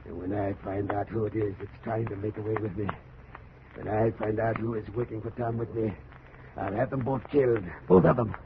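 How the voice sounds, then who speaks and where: An embattled plantation owner in a 1940s radio melodrama. His voice is creaky and agitated, stubborn and vengeful with fear underneath. The recording is dull and clearly noisy. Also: fairly low pitch, fairly even intonation, brisk. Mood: furious, vengeful. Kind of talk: 1940s radio drama acting.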